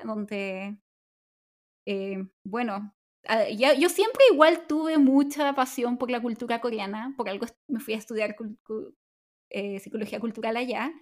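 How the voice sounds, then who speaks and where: A woman from Chile with a Chilean Spanish accent co-hosts a laid-back podcast. Her voice sits high, and she talks in an upbeat, chatty way, often restarting her sentences. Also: a little mellow. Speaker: podcast co-host. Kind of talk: casual chat.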